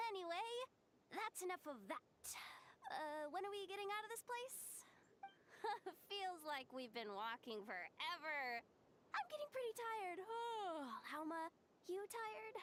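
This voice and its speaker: A tiny, squeaky, chatty childlike companion. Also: female voice, a bit bright, slight background noise, exaggerated voice. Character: small chirpy childlike companion, candid and excitable. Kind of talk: animated video game voice acting.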